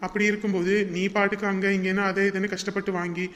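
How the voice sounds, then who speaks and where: A man voicing a groom who speaks gently to his bride and shouts in anger at others. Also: sits high, little pitch movement, fast. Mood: gentle. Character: hot-tempered man, groom and angry brother.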